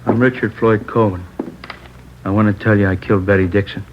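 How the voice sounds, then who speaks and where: A man's voice: fairly low pitch, steady tone, brisk, close to an everyday voice. An actor playing a distraught, obsessive man confessing in an old radio drama, insistent, repetitive and pleading. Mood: dazed, confessing. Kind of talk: 1950s radio drama acting. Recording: dull, faintly noisy old radio recording.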